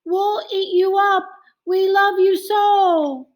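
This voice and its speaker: A woman voicing wild storybook monsters who plead loudly in long, loving wails. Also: very high voice, steady tone, voice pushed up. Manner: loud, drawn-out wailing pleas.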